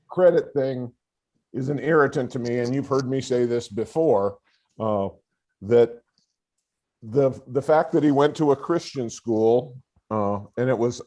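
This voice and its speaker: An older man who has coached for decades, explaining a student's case to a board over a remote call in a loud, earnest voice full of fillers. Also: medium pitch. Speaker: school administrator and longtime coach.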